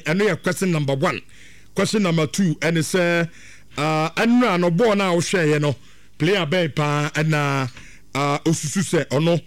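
A man's voice: fairly high pitch, dry recording. Ghanaian man hosting a sports show. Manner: mixes Twi and English, repeats phrases. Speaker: radio sports show host. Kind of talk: casual sports chat.

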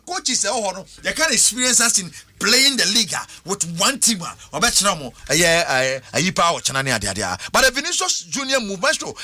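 A man's voice: sits high, no echo. A man hosting a casual football chat, talking animatedly and in a sing-song way. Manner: animated, rapid, sing-song, laughs often. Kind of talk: casual football chat mixing Twi and English.

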